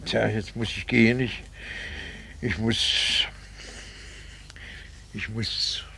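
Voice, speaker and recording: An actor playing a bitter, condescending middle-aged husband in a theatrical 1960s radio drama. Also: male voice, fairly low pitch, level tone, vocal fry, pushed and bright, a little hiss or hum, heavily acted. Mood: faltering, evasive. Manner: sardonic, theatrical, drawn-out rhetorical phrasing. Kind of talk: 1960s German-language radio drama acting.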